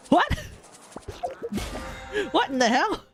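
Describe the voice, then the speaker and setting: Animated, rambling guy streaming. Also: extremely high pitch, drawn-out words, faint music behind. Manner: animated, rambling, exclamatory, self-correcting. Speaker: live streamer. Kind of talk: casual livestream chat while gaming.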